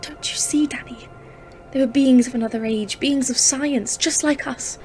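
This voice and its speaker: A woman's voice: high voice, fairly even intonation, moderate pace, darkish tone, natural voice. A woman playing a grave, awed professor in an audio play, speaking formally and intensely as a literary horror narrator. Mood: impassioned, awed. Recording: music under the voice. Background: dramatic music.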